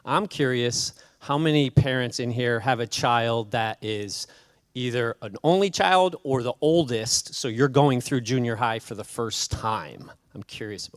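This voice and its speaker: A man from the United States, a school counselor introducing himself to parents. He speaks in a relaxed, conversational way and asks the audience questions. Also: medium pitch, no room sound.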